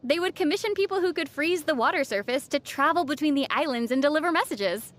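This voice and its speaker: A woman playing a young female adventurer in a video game, gentle and playfully wry in manner. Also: high voice, lively intonation, fast talker. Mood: calm, thoughtful. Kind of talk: game voice acting. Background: faint background music.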